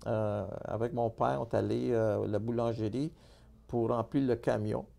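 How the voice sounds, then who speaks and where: A man's voice: mid-range voice, steady tone, normal speed, gravelly, warm tone. A middle-aged man, a candidate telling his life story in a casual interview, earnest and full of fillers and restarts. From born in Italy, raised in Montreal. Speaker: political candidate.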